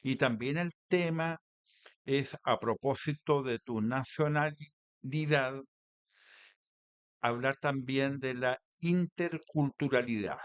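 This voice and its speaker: A man with a Chilean accent hosting a radio interview in measured, deliberate phrases. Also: medium pitch, smooth, no echo. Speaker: radio host. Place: radio studio.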